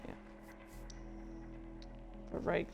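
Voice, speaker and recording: A woman streamer chatting casually about her own writing in a halting, rambling, self-deprecating way. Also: darkish tone, highs cut off, faint music behind. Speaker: streamer and fan fiction author. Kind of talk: casual livestream chat.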